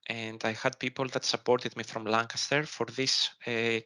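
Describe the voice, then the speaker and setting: Greek man, young, bright and crisp, flat delivery, encouraging alumnus on a video call. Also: mid-range voice, normal speed. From Greece. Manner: earnest, encouraging, searching for words. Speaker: alumnus panelist. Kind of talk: online panel advice.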